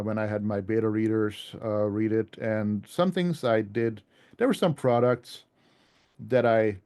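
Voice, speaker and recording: A man presents his work to a club in an informal, conversational manner. His voice is mellow and relaxed, at an ordinary male pitch. He comes across as self-deprecating as he walks through his slides. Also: clear, resonant tone. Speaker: author giving a club presentation.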